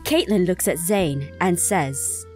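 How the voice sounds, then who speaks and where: A woman's voice: on the low side, takes it slowly, a little mellow, faint music behind. A woman hosting and narrating fan fiction in a playful storybook style, teasing and theatrical. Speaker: host and narrator.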